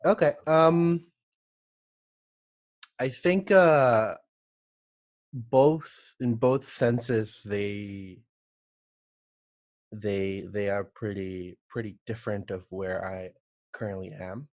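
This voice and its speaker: Slow, low-voiced man from El Salvador. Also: a little mellow, no room sound. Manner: halting, thoughtful, many pauses and restarts. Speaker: guest, art director. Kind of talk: casual podcast interview.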